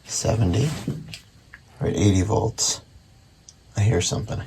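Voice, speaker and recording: A man casually narrating hands-on repair work in a low-key way, thinking aloud as he goes at a workbench. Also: steady tone, unhurried, a little mellow, slight background noise. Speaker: host, antique radio repairer. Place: indoor workshop at a workbench.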